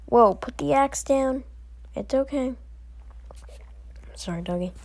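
A woman performing acted voicelines for a fan animation with slow, deliberate delivery. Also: sits high, darkish tone. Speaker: amateur voice actor.

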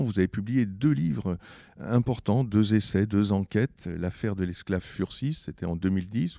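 Low, gravelly older interviewer. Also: male voice, dark tone, no room sound, clean studio sound. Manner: measured, formal questions. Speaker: interviewer host. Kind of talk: literary interview. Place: cultural institute stage, indoors. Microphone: handheld mic.

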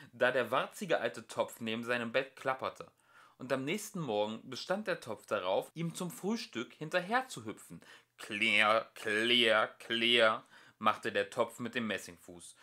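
A man narrating a storybook as an amateur audiobook reader, in an expressive storytelling manner. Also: mid-range voice. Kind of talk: storybook narration, read aloud.

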